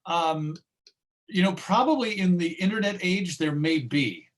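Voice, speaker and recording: A man presenting an informal online talk and answering questions in a hesitant, musing way, often pausing mid-phrase. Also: sits high, low-quality recording. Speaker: presenter and old-time radio collector.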